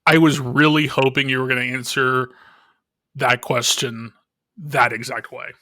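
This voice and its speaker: A man hosting a casual interview podcast, relaxed, tossing out quick questions. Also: medium pitch. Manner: relaxed, tosses quick rapid-fire questions. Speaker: podcast host.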